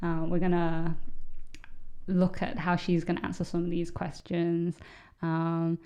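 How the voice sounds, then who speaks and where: A woman's voice: lowish voice, completely flat delivery, a little slow, dark tone. A young woman with a British accent co-hosting a podcast, chatting casually and explaining at length with plenty of fillers. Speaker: podcast co-host. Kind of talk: casual chat.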